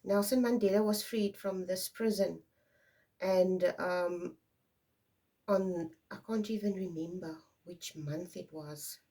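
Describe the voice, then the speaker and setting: A woman's voice: fairly low pitch, fairly even intonation, takes it slowly, warm tone, far from the mic. A South African woman in her fifties whose first language is Afrikaans, giving a casual tarot reading in a rambling way and often checking in with "okay?". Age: 50s. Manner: rambling, tags many lines with "okay?". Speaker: tarot reader. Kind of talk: casual intuitive card reading.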